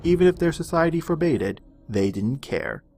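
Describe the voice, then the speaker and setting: A man giving a dramatic, measured narration of a fantasy storybook tale. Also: a little high, sing-song, creaky, mellow, faint music behind.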